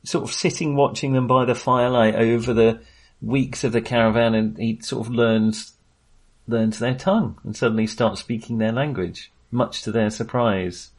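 A man's voice: mid-range voice, steady tone, moderate pace. A male podcast co-host talks casually about film in a mid-range voice. He meanders and hesitates as he goes, and tends to chuckle along the way.

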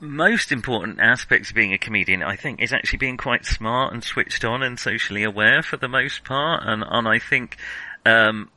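Bright-voiced man discussing films. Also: mid-range voice, normal speed, low-quality recording. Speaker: film podcast co-host. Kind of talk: casual film discussion.

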